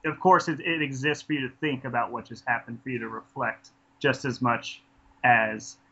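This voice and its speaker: Bright-voiced man rambling about films. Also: mid-range voice, steady tone, moderate pace, slightly rough. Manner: enthusiastic, rambling with frequent restarts. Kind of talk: casual film review chat. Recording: dull lo-fi podcast audio.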